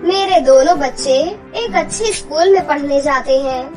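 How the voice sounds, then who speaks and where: A woman's voice: high-pitched, normal speed, a little mellow, far from the mic, faint music behind, close to an everyday voice. A woman voicing a devoted, hard-working mother in a cartoon story, reassuring and motherly. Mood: worried. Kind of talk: cartoon voice acting.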